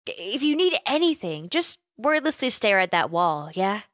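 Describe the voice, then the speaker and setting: A woman's voice: mid-range voice, big pitch swings, normal speed, dry recording. A caring young woman roommate, acted by a woman in an ASMR roleplay, recorded close and dry. Her intonation swings freely as she coaxes and teases her friend, hesitant at times and playful. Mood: playful, teasing. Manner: playful, gently coaxing, giggly, hesitant. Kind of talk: ASMR roleplay, intimate one-sided conversation.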